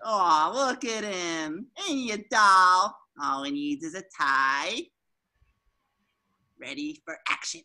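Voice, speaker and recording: A woman voicing an excitable, naive young male cartoon sea sponge in an eager, theatrical way at a table read. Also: lowish voice, takes it slowly, full character voice. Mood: scheming excitement.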